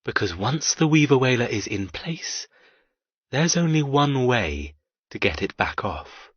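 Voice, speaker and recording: A man voicing a cold, cruel, wealthy male captor in an audiobook, speaking softly with taunting menace. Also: mid-range voice, sing-song, measured pace, husky, no room sound, dull audio, heavily acted.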